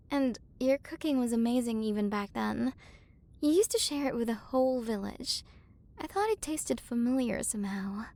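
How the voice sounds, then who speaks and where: A woman playing a proud, flustered tsundere warrior queen with a secretly tender side, in an intimate ASMR roleplay. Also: high voice. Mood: excited, delighted. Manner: haughty but flustered, softening into intimate murmurs. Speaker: voice actor. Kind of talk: ASMR romantic roleplay acting.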